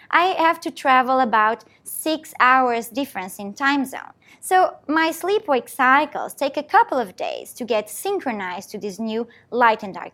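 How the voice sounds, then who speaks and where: Lively woman scientist. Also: a little high. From Portugal. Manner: explanatory, walking through an experiment step by step. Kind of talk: presentation talk.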